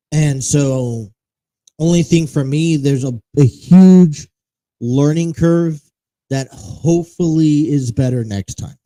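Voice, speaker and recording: A man's voice: medium pitch, dark tone, rough recording. A man hosting a casual solo talk show, chatting in a rambling, self-correcting way. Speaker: host. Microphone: studio mic with monocaster setup.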